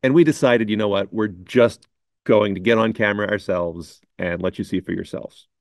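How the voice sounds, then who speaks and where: A middle-aged man speaks in a measured, wry tone. His voice is very low with a gravelly fry, and the recording is dry and close. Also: no echo. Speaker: chief editor. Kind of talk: casual on-camera chat.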